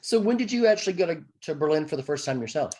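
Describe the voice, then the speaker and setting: An interviewer asking questions with frequent affirmations and an expressive delivery. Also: mid-range voice, fast talker.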